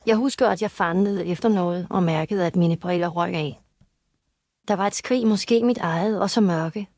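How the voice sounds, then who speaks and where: A woman playing an injured young heiress in an audio story, recounting carefully and formally, uneasy but clear-headed. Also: lowish voice, darkish tone, dry recording. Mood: uneasy, recollecting. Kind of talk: scripted audio story dialogue.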